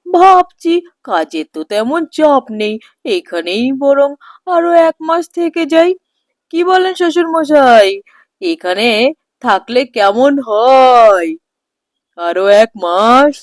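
A woman voicing a gluttonous, flattering young son-in-law in a cartoon, gushing and wheedling. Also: sits high, slow, no room sound, clean studio sound. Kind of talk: cartoon voice acting.

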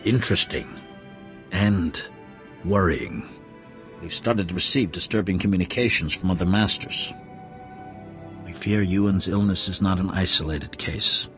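Male council master for a sci-fi game: low, formal, authoritative, music underneath. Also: expressive, a bit bright. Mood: grave. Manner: measured, formal. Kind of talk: video game voice acting.

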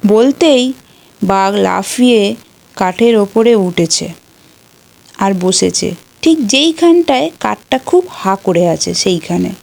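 A woman's voice: mid-range voice, animated, slow pace, creaky, darkish tone. A woman narrating a scripted children's folktale as a storyteller.

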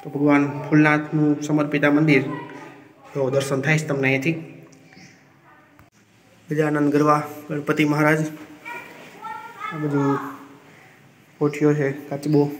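A man's voice: fairly high pitch, completely flat delivery, unhurried, long pauses, slight background noise. A man, chatting casually as he walks viewers around a riverside temple on a phone camera. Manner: chatty, pointing things out as he walks. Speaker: village vlogger. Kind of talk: casual guided tour.

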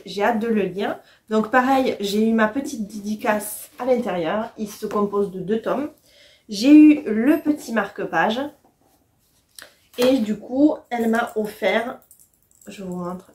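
A woman's voice: mid-range voice, a little mellow. A woman, chatting casually and enthusiastically to the camera as a book vlogger. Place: small furnished room at home, indoors.

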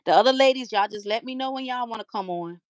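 A woman's voice: on the high side, quick pace, no echo, studio quality. A middle-aged woman hosting a casual livestream from a room at home, talking easily into a webcam. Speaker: livestream host.

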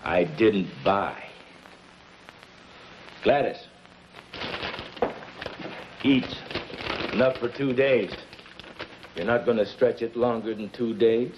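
Tough practical henchman, lowish voice, hard-boiled, old hissy 50s tv sound. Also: slow, pauses often. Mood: businesslike, clipped. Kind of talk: 1950s television crime drama acting. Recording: muffled, hissy 1950s TV soundtrack.

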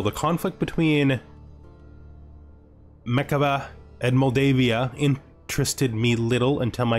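A man giving casual strategy game commentary as host, thinking aloud and reading event text. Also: medium pitch. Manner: thinking aloud, asking himself questions. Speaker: let's-play host.

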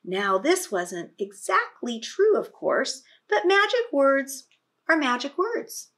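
A middle-aged woman with a high voice reads to kindergarteners at a slow pace. She is expressive, with dramatic stresses, and explains things as a teacher would. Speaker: teacher reading aloud to young children. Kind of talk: expressive picture-book read-aloud with questions to kindergarteners.

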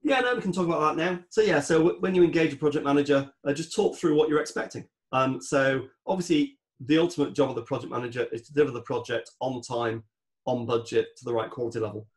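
A man's voice: mid-range voice, fast. A man speaking as a guest expert on a podcast, explaining things in a methodical, instructive way. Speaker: guest expert on home renovation project management.

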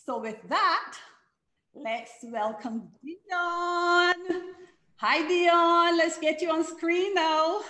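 A woman hosting an online talk show, speaking in an earnest, measured way over a video call from her living room. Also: very high voice, bright, forceful tone, echoey room. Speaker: host.

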